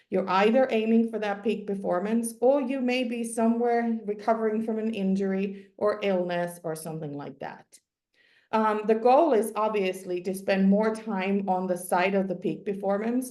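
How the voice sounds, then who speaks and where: A woman's voice: medium pitch, a little mellow. A woman whose first language is Finnish gives a sports psychology webinar talk, explaining step by step with frequent ums and restarts. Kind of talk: webinar presentation. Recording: roomy echo.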